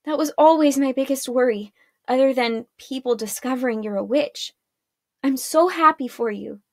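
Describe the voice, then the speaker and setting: A woman voicing an emotional long-lost mother in a storybook, gentle and earnest. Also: high voice, normal speed, dry recording, studio quality. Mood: anxious, relieved.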